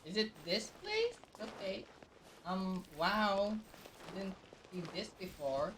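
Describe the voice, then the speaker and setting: A woman's voice: mid-range voice, sing-song, takes it slowly, far from the mic, background noise. A woman streamer giving casual gameplay commentary, thinking aloud and muttering to herself as she plays. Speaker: game streamer.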